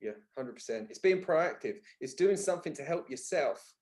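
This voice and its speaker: A man with an English accent and a fairly high-pitched voice talks as a guest in a casual interview. His delivery is colloquial and blunt, with emphatic points made in everyday language. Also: expressive, normal speed, a little mellow. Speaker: podcast guest. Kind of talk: casual conversational interview talk.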